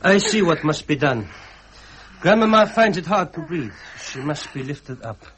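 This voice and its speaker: An actor playing an imperious grieving royal grandson, speaking formally and commandingly in 1970s radio drama style. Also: male voice, on the high side, big pitch swings, moderate pace, crowd noise. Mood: solemn, urgent. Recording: noisy vintage radio recording.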